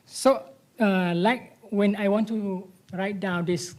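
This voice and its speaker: Slow, halting man. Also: high voice, steady tone. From Thailand. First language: Malay. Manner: halting, broken English with many restarts. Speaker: panelist writer. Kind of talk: panel discussion.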